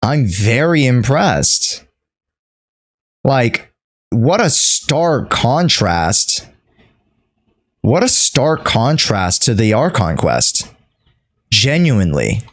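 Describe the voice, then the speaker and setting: A man talking off the cuff to his livestream chat, halting and repeating himself as he searches for words. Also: mid-range voice, sing-song. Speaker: streamer. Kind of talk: unscripted livestream commentary to chat.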